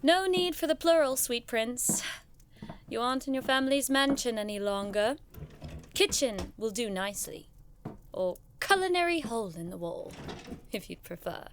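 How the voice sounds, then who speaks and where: Elegant young lady scholar: wry, teasing, arch, slightly high voice, audio drama. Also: very animated, moderate pace, slight background noise.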